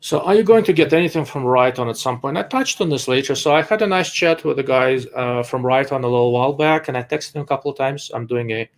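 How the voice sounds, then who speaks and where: A man's voice: mid-range voice, quick pace, few pauses. A man hosting a live stream, reading viewer questions and answering them bluntly while thinking aloud with frequent hesitations. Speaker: livestream host answering viewer chat. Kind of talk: casual live-stream chat.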